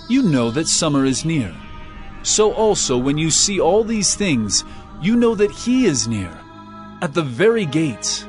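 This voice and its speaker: An actor playing a solemn, authoritative holy teacher prophesying in an earnest, declamatory way in a dramatized audio Bible. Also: male voice, fairly high pitch. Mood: solemn proclamation.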